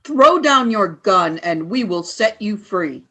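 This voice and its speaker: A woman in a radio play voicing a menacing female alien warrior giving commands, as if over a loudspeaker. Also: medium pitch, lively intonation, slow. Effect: distant loudspeaker.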